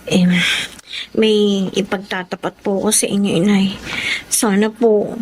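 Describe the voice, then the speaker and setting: A woman's voice: medium pitch, flat delivery, measured pace, a little mellow, a little hiss or hum, natural voice. A woman acting an earnest, troubled young working daughter in a Filipino radio drama, speaking politely and deferentially. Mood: apologetic, sincere.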